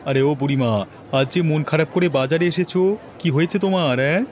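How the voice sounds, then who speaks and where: A man's voice: a little high, fast, a little mellow, a faint crowd, a little hiss or hum, lightly acted. A man playing a friendly village meat seller in a cartoon story, coaxing and reassuring in a familiar, helpful, slightly crafty way. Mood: concerned, coaxing. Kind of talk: scripted cartoon story acting.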